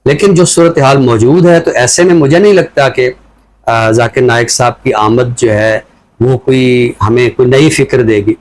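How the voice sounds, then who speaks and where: Deliberate Pakistani man explaining his views. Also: medium pitch, rough recording. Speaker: literary talk show commentator. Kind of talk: reflective talk show commentary.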